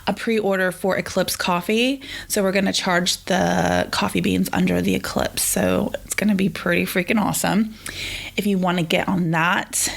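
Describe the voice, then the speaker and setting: Sniffly woman with a gravelly, creaky voice. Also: on the low side. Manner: chatty, rambling, lots of "like" and "um". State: sick and sniffly. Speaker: podcast host. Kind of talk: casual solo podcast chat.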